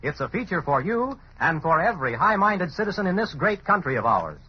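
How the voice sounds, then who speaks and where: A man's voice: sits high, very animated, brisk, husky. A man announcing and narrating a radio serial in dramatic, scripted 1930s style. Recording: muffled, hissy old radio broadcast.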